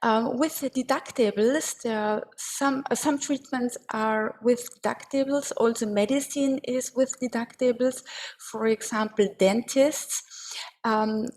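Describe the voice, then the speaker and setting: A woman with an Austrian German accent giving a slide presentation in a webinar, hesitant and careful, with many pauses and fillers. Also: fairly high pitch, fairly even intonation, darkish tone, poor audio.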